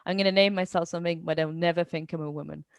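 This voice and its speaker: A woman with a slightly low voice, recorded close and dry, chats casually as a host. She sounds self-deprecating and often softens what she says with hedges. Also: fairly even intonation, rapid-fire, no echo. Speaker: webinar host, gaming community organiser. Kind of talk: casual personal chat.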